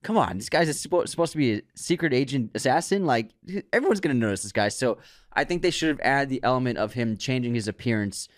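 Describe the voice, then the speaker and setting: A man co-hosting a podcast, chatting casually and giving animated opinions, often restarting words. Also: mid-range voice, quick pace, dry recording, studio quality. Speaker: podcast co-host. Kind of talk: casual chat.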